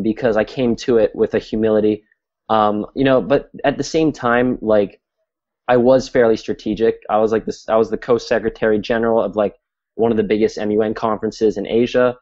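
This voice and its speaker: A man's voice: fairly low pitch, a little mellow. A young man from Singapore, a filmmaker guest, telling his story candidly in a casual interview with many fillers. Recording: dull lo-fi audio.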